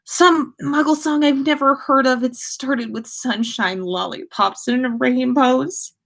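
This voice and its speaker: A woman voicing a bewildered, uneasy schoolboy with a halting, puzzled way of talking, in a storybook reading. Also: high voice, creaky, pitched above the performer's own voice, heavily acted. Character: bewildered, horrified schoolboy who hears phantom singing.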